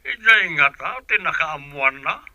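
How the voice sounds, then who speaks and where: A man's voice: on the high side, expressive, unhurried, muffled recording. A man with an Ilocano accent playing a reproachful son in a radio drama. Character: reproachful youngster confronting his father over infidelity.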